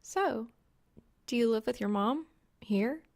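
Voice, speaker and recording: A woman's voice: a little high, animated, slow, gravelly, pitched above the performer's own voice, exaggerated voice. A woman voicing a playful, sleepy young female gamer with a light, casual lilt in an audiobook.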